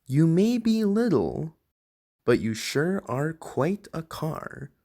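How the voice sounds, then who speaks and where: Big cocky storybook truck, animated pitch voiced by a reader. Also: takes it slowly, heavily acted. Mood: impressed. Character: big cocky trucks looking down on a little car.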